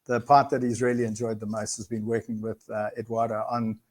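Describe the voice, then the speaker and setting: A man hosting a business webinar, talking quickly with many fillers and friendly welcomes. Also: on the low side, level tone. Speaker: webinar host, firm representative.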